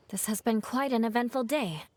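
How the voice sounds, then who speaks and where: A woman's voice: on the high side, slight background noise, lightly acted. A woman playing a polite, reserved young woman in a video game.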